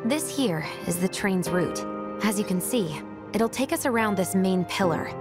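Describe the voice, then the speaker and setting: A woman's voice: medium pitch, expressive, moderate pace, lightly acted. Woman acting breezy, teasing young rebel, music behind. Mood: explaining. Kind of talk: video game voice acting.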